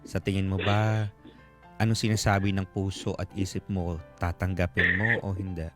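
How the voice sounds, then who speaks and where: A Filipino man hosting a radio advice show, speaking conversationally in short, paused phrases. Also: deep voice, little pitch movement, a bit bright. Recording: guitar music playing behind the voice. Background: guitar background music.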